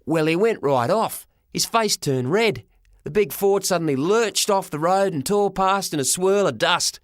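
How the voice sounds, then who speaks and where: A man narrating a children's story in the first person as an expressive audiobook reader. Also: high-pitched, a little slow, no echo, studio quality.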